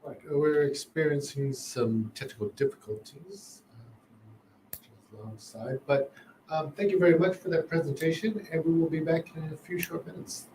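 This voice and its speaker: A man's voice: fairly high pitch, steady tone, moderate pace, darkish tone, slight background noise, poor audio. A man chairing an academic session, making a polite, composed announcement.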